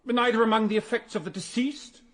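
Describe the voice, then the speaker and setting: High-voiced, dry English barrister. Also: male voice, fairly even intonation, a little fast, a bit bright. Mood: pointed, formal. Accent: upper-class English. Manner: formal, pointed, wry. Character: dry, pointed English barrister, wry with his mother. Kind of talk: British radio drama courtroom acting.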